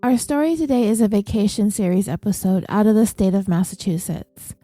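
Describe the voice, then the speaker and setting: A woman hosting a true crime podcast, reading her script with a slightly nasal, congested voice in a steady, even delivery. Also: medium pitch, brisk, dry recording, faint music behind. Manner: reads ads and listener names in an even, steady delivery.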